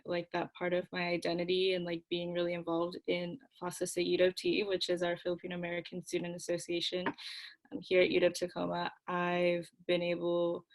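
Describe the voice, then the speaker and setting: Young woman, low flat voice. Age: young adult. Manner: earnest, filler-heavy, steady. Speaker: student panelist. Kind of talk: panel discussion answer.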